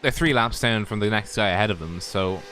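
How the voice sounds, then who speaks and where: A man with a slightly low voice co-commentates a live sim race, talking things through at an easy, agreeable pace. A steady hiss runs under his microphone. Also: a little fast. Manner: explains at length, relaxed and agreeable.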